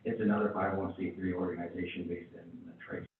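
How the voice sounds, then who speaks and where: Deep, flat-voiced man in an echoey chamber. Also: slight background noise. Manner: halting, many fillers and restarts. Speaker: city chief presenting to council. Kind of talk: council meeting report. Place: council chamber. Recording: tinny, echoey chamber from far off.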